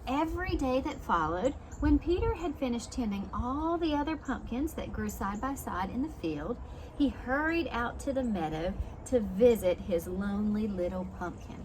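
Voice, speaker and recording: A woman's voice: a little high, animated, normal speed, a little mellow, a little hiss or hum, poor audio. A woman reading a picture book to young children as a preschool teacher, expressively, with simple explanations and questions along the way. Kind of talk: storybook read-aloud for young children, with asides.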